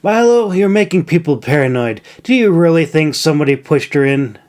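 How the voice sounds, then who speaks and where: A man's voice: a little high, animated, a little fast, a little mellow, voice pushed up, lightly acted. A man reads as a weary young trainee in a fantasy audiobook. He is modest and guarded, with a curt, irritable edge. The delivery stays close to everyday speech. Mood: irritated.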